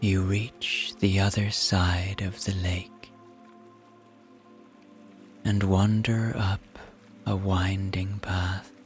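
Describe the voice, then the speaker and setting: A man guides a relaxation exercise in a low, hushed voice. His delivery is extremely slow and very even, gentle and soothing throughout. Soft music and rain sit behind the narration. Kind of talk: scripted guided meditation narration.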